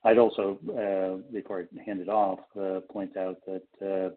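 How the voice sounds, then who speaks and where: A male company executive answering questions on an earnings call in a halting, hesitant manner with broken phrasing. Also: low voice, little pitch movement. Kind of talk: unscripted business question-and-answer. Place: conference call line. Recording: muffled, band-limited conference call audio.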